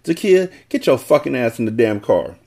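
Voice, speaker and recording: A man voicing an indignant, foul-mouthed man yelling in drawn-out exasperation in a story reading. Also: mid-range voice, big pitch swings, creaky. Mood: exasperated.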